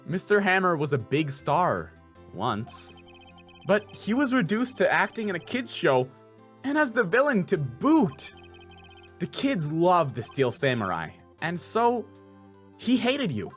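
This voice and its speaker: Male voice actor as earnest young game attorney: flustered, highly animated intonation, exasperated edge, faint music. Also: a little slow, exaggerated voice. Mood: explaining.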